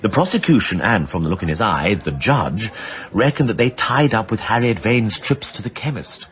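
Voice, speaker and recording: An actor playing a well-bred, insistent amateur sleuth in a radio drama, with an upper-class English accent and a plummy, drily witty delivery. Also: male voice, on the low side, lively intonation, moderate pace, muffled recording, close to an everyday voice. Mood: earnest recounting. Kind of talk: British radio drama acting.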